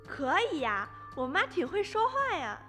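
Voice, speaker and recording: A woman's voice: high-pitched, very animated, normal speed, bright, forceful tone, big-room sound, faint music behind, exaggerated voice. A woman playing a curious, eager young granddaughter in a radio drama.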